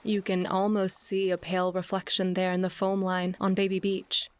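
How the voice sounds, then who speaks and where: An actress playing a brooding, sardonic woman aboard a ship, speaking in a dry, deliberate, musing way in an audio play. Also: on the low side, monotone, no room sound, natural voice. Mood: wry, quiet. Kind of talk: theatrical audio play acting.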